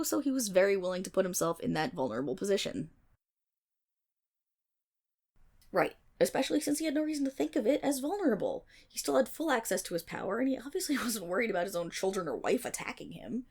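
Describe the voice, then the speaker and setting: A woman's voice: on the high side, darkish tone, husky, heavily acted. A woman playing a chatty, irreverent nerdy best friend, rambling wryly and sarcastically in a casual ASMR storytelling roleplay. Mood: explaining, wry. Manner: rambling, wry, sarcastic, theatrical asides.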